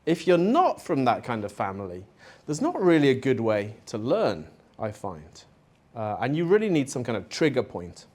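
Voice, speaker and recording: A middle-aged man speaks at a conference with an easygoing, conversational delivery. His pitch is neither high nor low, and he leans on fillers and friendly asides as he talks. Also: wildly swooping pitch, normal speed, darkish tone. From Spain. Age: 40s. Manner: casual and chatty, with fillers and asides. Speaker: conference presenter. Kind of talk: conference talk. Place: conference venue.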